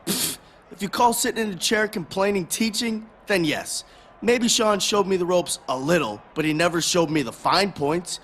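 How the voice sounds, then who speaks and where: A man's voice: sits high, moderate pace, a bit bright, a little hiss or hum. A man playing a cocky, resentful wrestler in a video game, giving a smug, dismissive scripted interview. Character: cocky, resentful wrestler, scornful former student.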